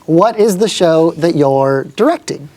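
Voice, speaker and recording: Upbeat lower-voiced woman host. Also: expressive, mellow. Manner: upbeat, quick interjections. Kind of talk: casual live podcast chat.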